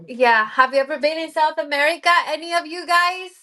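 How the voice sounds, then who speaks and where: An upbeat woman, chatting as a guest on a livestream. Her voice sits somewhat high for a woman, and she laughs easily between her words. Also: brisk, pushed and bright. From Ecuador. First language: Spanish. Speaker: livestream guest, sound healer. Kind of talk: casual livestream chat.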